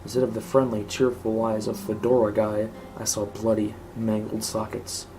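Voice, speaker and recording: A man's voice: fairly low pitch, completely flat delivery, moderate pace. A man reading a scripted horror story aloud as narrator. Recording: clearly noisy home recording.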